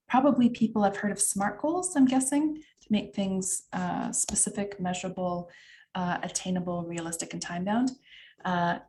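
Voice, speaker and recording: A woman's voice: medium pitch, mellow. A middle-aged Canadian woman leading an online workshop over a video call, talking in a chatty way with many fillers and asides. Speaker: workshop facilitator.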